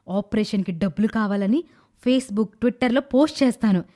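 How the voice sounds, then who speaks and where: A woman voicing a greedy, cruel young daughter-in-law in an animated moral story, calculating and dramatic. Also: mid-range voice, close to an everyday voice. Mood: scheming. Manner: calculating, dramatic, self-pitying when pleading to others.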